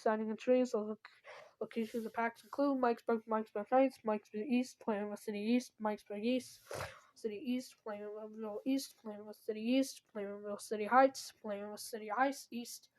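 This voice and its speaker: A woman's voice: fairly high pitch, darkish tone, poor audio. A woman announcing a scripted emergency weather bulletin, stumbling at times and correcting herself.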